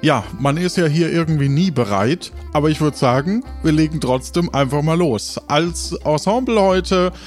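A man with a fairly high voice works as host and game master of an improvised audio adventure. He narrates the scenes and addresses the player. Music plays behind him. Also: lively intonation, moderate pace, hardly any pauses. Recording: music bed under the voice.

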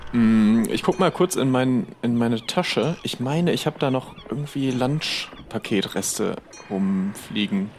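A man's voice: medium pitch, takes it slowly, few pauses, a little mellow, slight background noise. A man role-playing casually in an improvised adventure game, tentatively thinking aloud as a player.

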